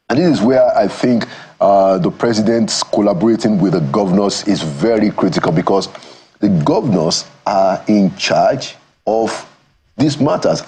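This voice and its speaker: Husky low-voiced Nigerian man. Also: mellow. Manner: measured, thoughtful, stops to find words. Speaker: studio guest, former government aide. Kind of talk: morning TV interview. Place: TV studio.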